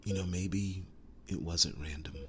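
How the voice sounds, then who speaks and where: A man playing a devoted, tender werewolf boyfriend in an intimate ASMR roleplay, speaking softly and breathily, often dropping to a whisper, earnest and halting. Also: sits low, fairly even intonation, a little hiss or hum. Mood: tender, solemn. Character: devoted, tender werewolf boyfriend sharing his soul with demon knight.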